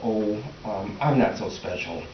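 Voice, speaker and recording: A man's voice: fairly low pitch, a little slow, muffled recording, natural voice. A man playing a mild, defensive middle-aged husband, hesitant and conciliatory, in a comic readers theater play. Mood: sheepish. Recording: echoey, distant, very noisy stage recording.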